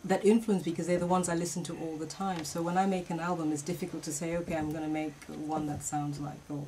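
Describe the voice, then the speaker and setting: Chatty Cameroonian-born woman, lowish voice. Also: fast. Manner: chatty and relaxed, laughs easily. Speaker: musician guest. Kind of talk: casual radio interview. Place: radio studio. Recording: clear background noise.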